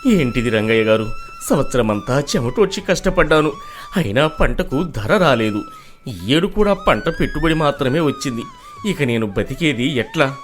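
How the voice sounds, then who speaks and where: A man's voice: mid-range voice, huge pitch swings, normal speed, faint music behind. A man acting a poor, weary village farmer in a moral story, humble, pleading and sorrowful. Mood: despairing.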